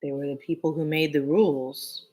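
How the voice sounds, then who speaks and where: A woman voicing a wistful, resigned old grandfather telling stories in a gentle, sorrowful way. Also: low voice, steady tone, normal speed.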